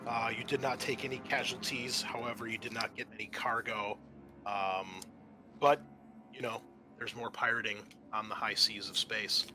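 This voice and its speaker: A man's voice: mid-range voice, fairly even intonation, normal speed, music in the background. A man hosting as game master, narrating a tabletop roleplaying game in a casual, often profane manner.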